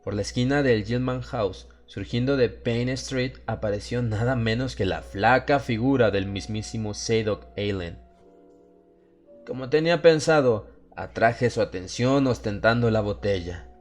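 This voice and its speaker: A man narrating a horror story in the first person, with a measured, literary, dramatic delivery. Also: medium pitch. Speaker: audiobook narrator. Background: music under the voice.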